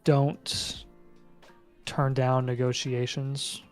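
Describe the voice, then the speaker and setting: A man co-hosting a casual livestream, giving brisk, terse advice item by item. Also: mid-range voice, completely flat delivery, clean tone, soft background music. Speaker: co-host.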